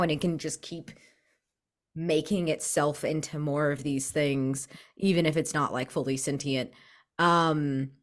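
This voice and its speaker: A woman in casual tabletop game chat, thinking aloud in an animated, gushing way. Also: low-pitched. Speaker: tabletop RPG player.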